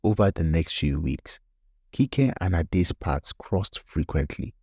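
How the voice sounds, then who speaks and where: A man's voice: low-pitched, slow, mellow, slightly rough, no echo, clean studio sound. A man narrating a scripted folktale as the storyteller.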